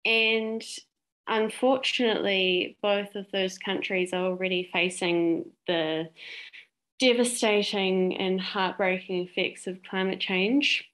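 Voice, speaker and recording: A woman's voice: medium pitch, fairly even intonation, takes it slowly, a bit bright, poor audio. A young woman from Greece, a youth climate activist, speaking in a heartfelt, hesitant way. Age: young adult. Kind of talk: interview answers.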